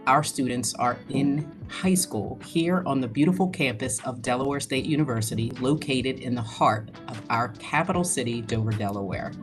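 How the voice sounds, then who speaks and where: A woman's voice: rumbling low, moderate pace, hardly any pauses. A woman who heads a school, giving a proud, upbeat scripted video message about her school. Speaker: head of school. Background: background music.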